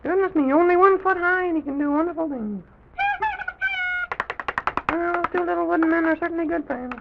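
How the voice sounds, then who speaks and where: A performer playing a quaint, rambling, excitable little old gentleman in an old children's radio serial, with a high, creaky voice. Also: moderate pace, loud music behind the voice. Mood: wondering. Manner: rambling, repetitive, exclaims "goodness me". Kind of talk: 1920s-30s children's radio serial acting. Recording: tinny, noisy old radio recording.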